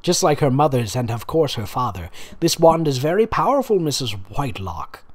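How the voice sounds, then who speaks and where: A man's voice: mid-range voice, sing-song, normal speed. A man voicing a mysterious old wandmaker from fan fiction, solemn and portentous in his delivery.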